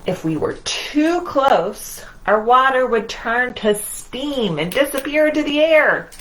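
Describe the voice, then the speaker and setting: Slow-paced woman reading to young children. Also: high-pitched, animated, fairly bright tone, a little hiss or hum, poor audio. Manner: singsong, simple phrasing with questions to children. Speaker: children's library storytime host. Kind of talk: children's storytime read-aloud with asides to young listeners.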